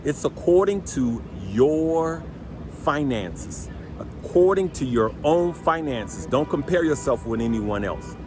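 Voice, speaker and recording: A man with a deep voice hosting a stock trading channel, giving casual advice and driving his points home by repeating them and checking in with "right?". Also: lively intonation, takes it slowly, over music. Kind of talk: casual finance advice to viewers.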